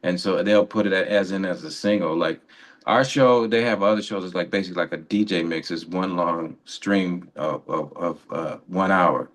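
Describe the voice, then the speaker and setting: A man's voice: sits low, normal speed. A man co-hosting a radio show, explaining things in a casual, conversational way.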